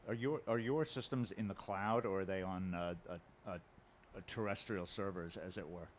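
A man moderating a conference panel, brisk and quick with short affirmations. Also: sits low, slight background noise.

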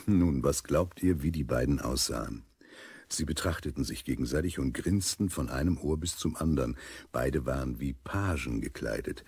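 A man narrating a children's audio drama as an amused storyteller, with a gravelly, husky voice. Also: a bass voice, animated, moderate pace, a little mellow, exaggerated voice. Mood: amused storytelling. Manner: storyteller addressing young listeners.

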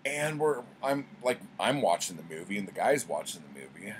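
A man's voice: on the low side, sing-song, normal speed, slight background noise. A middle-aged man talking casually and conversationally to camera in a rambling, slangy, profane way, as a vlogger at home. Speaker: book vlogger. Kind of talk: casual chat, rambling storytelling. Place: small indoor room at home with bookshelves.

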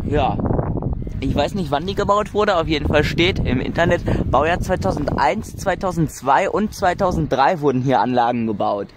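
A man with a somewhat high voice talks casually from behind a phone camera, musing aloud with plenty of ums and ahs. He is out on an open hilltop field, and steady outdoor wind and ambient noise sit clearly under his words. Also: moderate pace. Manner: chatty, musing aloud with frequent fillers. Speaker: wind turbine vlogger. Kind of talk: casual spoken commentary while filming. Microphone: phone camera mic, speaker behind the camera.

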